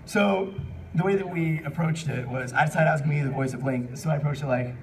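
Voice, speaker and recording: A man's voice: on the high side, quick pace. A man hosting a live fan panel, talking casually in a rambling, jokey, unscripted way and taking questions from the room. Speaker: panel host answering audience questions. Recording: distant mic in noisy live panel room.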